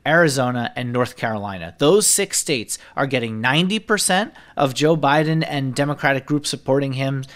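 A man's voice: mid-range voice, animated, normal speed, hardly any pauses, a bit bright. Man explaining electoral maps. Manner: fluent explainer, rattles off figures with occasional uh fillers. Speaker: senior political editor and correspondent. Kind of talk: political analysis on a podcast.

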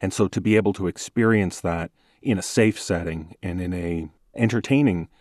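A man speaking as an interview guest, explaining his ideas in a measured, thoughtful, conversational way. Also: low-pitched, a little mellow, dry recording, clean studio sound. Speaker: horror podcast host, interview guest.

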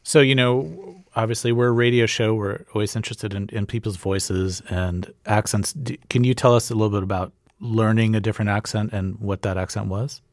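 A man who is a radio show producer, asking a guest questions in a measured, unhurried way. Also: lowish voice, steady tone, no echo, studio quality.

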